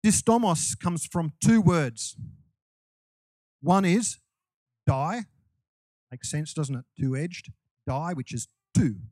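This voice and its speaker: A man's voice: on the high side, slow pace, long pauses, a little mellow, dry recording. A man preaching a sermon to his congregation in a loose, conversational way, with restarts and asides. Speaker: pastor.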